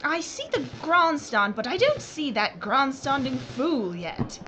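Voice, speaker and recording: A woman's voice: high voice, big pitch swings, slow pace, background noise, full character voice. An actress playing a haughty, playful noblewoman in an audio drama, with arch, aristocratic phrasing. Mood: poised. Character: haughty, witty English noblewoman with a playful streak.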